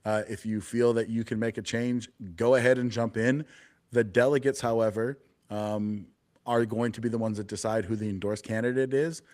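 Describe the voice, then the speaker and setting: A man co-hosting a casual political podcast panel, talking in a relaxed, chatty way with plenty of fillers. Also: lowish voice, moderate pace. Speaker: podcast co-host, party committeeman.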